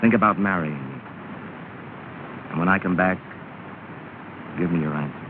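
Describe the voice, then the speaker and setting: A man's voice: low voice, moderate pace, natural voice. An actor playing a proud, stubborn young suitor, earnest with her and curt with rivals, in 1930 film acting. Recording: scratchy, tinny 1930 film soundtrack with hiss.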